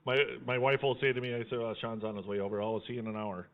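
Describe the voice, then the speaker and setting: Man, low-pitched voice, casual live host, folksy, teasing, tinny phone-grade sound. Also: steady tone, rapid-fire. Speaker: host of a fundraiser livestream.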